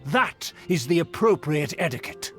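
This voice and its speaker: Man acting a pompous, high-pitched haughty nobleman. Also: lively intonation, normal speed, music in the background, lightly acted. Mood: haughty, offended. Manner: pompous, formal, condescending diction. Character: haughty male nobleman of a declining aristocratic clan. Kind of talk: video game character acting.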